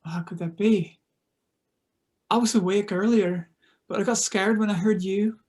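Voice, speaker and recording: A woman's voice: mid-range voice, fairly even intonation, unhurried, a little mellow, natural voice. Woman playing a frightened young chef. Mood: shaken. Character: Emma, frightened pregnant Scottish chef, mid-twenties. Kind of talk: table read of a screenplay.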